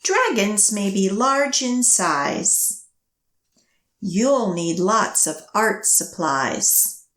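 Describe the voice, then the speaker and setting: A woman leading a children's storytime, reading picture books and action rhymes in an expressive, measured, sing-song way. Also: mid-range voice, reverberant.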